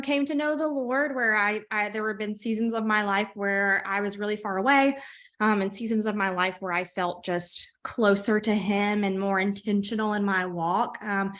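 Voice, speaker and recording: A woman's voice: mid-range voice, a bit bright. A woman in her thirties from Mississippi with a Southern accent, casually sharing her personal story as a co-host. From Mississippi, United States. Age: 30s. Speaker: co-host of a women's Bible reading group. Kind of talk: casual personal testimony in conversation.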